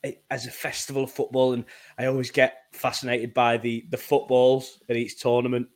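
A man in his twenties co-hosting a casual football podcast, animated and laughing often as he repeats words while he thinks. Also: mid-range voice, a little fast. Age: 20s. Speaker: podcast co-host. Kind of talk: casual chat.

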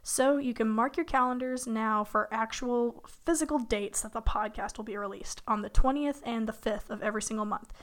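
A young woman hosting her own podcast in a casual, rambling way, her voice a bit hoarse from being sick. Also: fairly high pitch, normal speed, few pauses. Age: young adult. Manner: chatty, rambling, with fillers and light laughs. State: hoarse from illness. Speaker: podcast host. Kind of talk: casual solo podcast talk.

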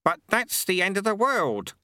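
A man voicing an ancient, calm and shrewd monk in a storybook reading, with a raised, lively voice. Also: sits high, normal speed, clean tone, no echo, clean studio sound, pitched above the performer's own voice, exaggerated voice. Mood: calm polite. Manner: polite, calm.

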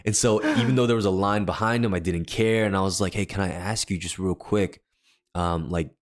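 A deep-voiced man, a podcast co-host and worship leader, telling a personal story in casual chat, rambling with many fillers and restarts. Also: steady tone, brisk.

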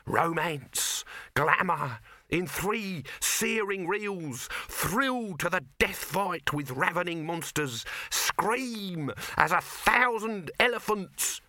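A man acts out an excitable male huckster from a comic storybook. He pitches grandly and pushily, pitched up and swooping, with a bright, forceful edge. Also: slow, no room sound, studio quality, full character voice. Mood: grandiose, inspired. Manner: forceful, grandiose sales patter. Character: excitable, pushy showman and fast-talking huckster, male.

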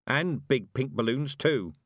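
A man's voice: mid-range voice, lively intonation, unhurried, fairly bright tone, no echo, clean studio sound, voice pushed up. A man voicing a stern, blunt, proud old country witch woman with emphatic rustic speech, in audiobook style. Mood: firm, wry. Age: older. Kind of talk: audiobook character acting.

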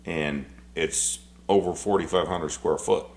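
A man's voice: sits low, measured pace, a little mellow. A man in his forties, co-hosting a podcast in casual chat, rambling and blunt with frequent swearing. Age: 40s. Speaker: podcast co-host.

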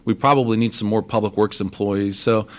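A man with a fairly low voice speaks in a measured, explanatory way, often restarting his sentences as he walks through figures. He is a guest on a talk show, from Texas, answering the host's questions in relaxed conversation. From Texas, United States. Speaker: guest, deputy city controller.

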